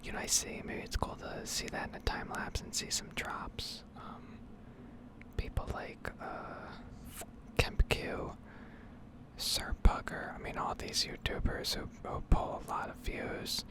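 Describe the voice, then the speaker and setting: A woman whispering a rambling, improvised ASMR game commentary in a hesitant, unhurried way, with a breathy, creaky edge. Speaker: gaming ASMR creator.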